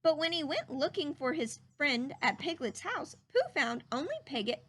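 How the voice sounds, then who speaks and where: A woman reading a children's story aloud in an animated, emphatic, teacherly way. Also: high voice, normal speed. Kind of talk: expressive read-aloud narration with teacherly questions to listeners.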